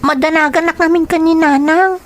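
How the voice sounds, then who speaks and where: A woman's voice: extremely high pitch, fairly even intonation, a little fast. A woman playing a devoted, worried young student daughter in an Ilocano radio drama, earnest and concerned. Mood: pensive, troubled.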